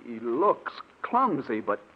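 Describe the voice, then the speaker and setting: An actor playing a curious, wondering younger doctor in a 1940s radio drama. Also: male voice, mid-range voice, sing-song, slow pace. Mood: astonished. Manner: earnest, hesitant, wondering. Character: curious, astonished younger male doctor visiting his mentor. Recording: slightly muffled old radio recording.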